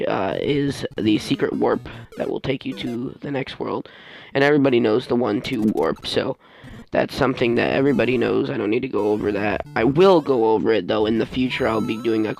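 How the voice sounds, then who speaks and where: A man giving casual let's play commentary as host, rambling and halting as he often loses his train of thought. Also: medium pitch, vocal fry, rough recording. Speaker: gaming video host.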